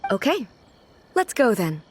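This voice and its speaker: A woman's voice: on the high side, sing-song, slight background noise. An actress playing a dignified, curious female ruler in a video game, speaking formally and earnestly, a little naive about everyday life. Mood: calm, reassuring. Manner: formal, earnest, measured phrasing. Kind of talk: scripted video game voice acting.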